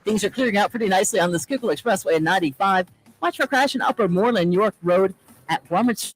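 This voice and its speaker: A man's voice: high voice, fast talker, low-quality recording. A man giving a scripted radio traffic report, with music behind him.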